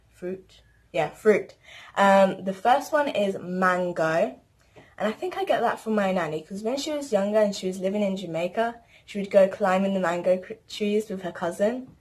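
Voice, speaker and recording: A woman's voice: medium pitch, darkish tone. Teen girl, casual rambling vlog delivery. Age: teens. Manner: chatty, rambling, lists things. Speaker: vlogger. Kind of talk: casual chat to camera.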